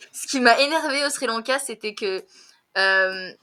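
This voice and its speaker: A young woman tutoring French on a video call. She talks fast, laughs a lot and fills her speech with hesitations. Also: on the high side, pushed and bright. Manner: fast-talking, giggly, many fillers. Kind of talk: casual conversation lesson.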